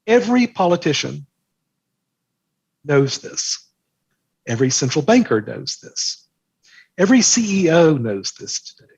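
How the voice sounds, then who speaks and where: A man's voice: fairly high pitch, big pitch swings, unhurried, vocal fry, long pauses, darkish tone, husky, no room sound. A man talking as an interview guest, explaining things conversationally and emphatically, repeating phrases for effect. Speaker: interview guest, markets newsletter author.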